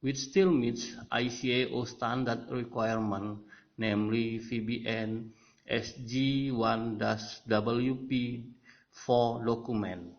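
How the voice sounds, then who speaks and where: A man with an Indonesian accent reading a scripted academic presentation in halting phrases. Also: mid-range voice, fairly even intonation. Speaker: researcher presenting a paper. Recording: dull lo-fi voice-over.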